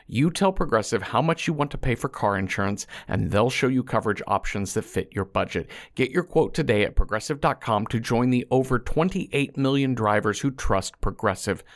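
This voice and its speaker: Guy doing podcast ad reads, lots of vocal fry, chatty and a bit wry, medium pitch. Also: animated, normal speed, few pauses. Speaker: podcast announcer reading promos and ads. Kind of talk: scripted promotional read.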